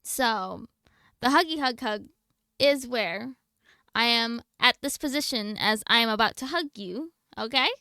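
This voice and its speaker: A woman's voice: fairly high pitch, measured pace, bright, forceful tone, clean tone, no room sound, clean studio sound. A woman streaming a video game, chatting casually with viewers in a playful, teasing way. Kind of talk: casual live stream chat while playing. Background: game music.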